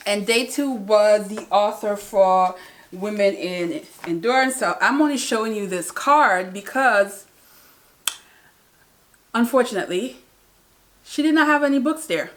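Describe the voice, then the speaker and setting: A woman's voice: mid-range voice, a little slow, pauses often. A woman vlogger chatting casually about her day, with lots of fillers and restarts. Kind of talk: casual vlog recap.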